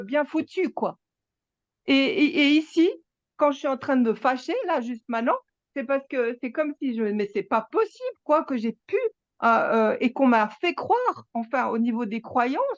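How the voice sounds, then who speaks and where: A woman, sharing her experience at a spiritual gathering, halting and emphatic, with many restarts. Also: high-pitched, no room sound, muffled recording. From Switzerland. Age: 60s. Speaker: satsang participant.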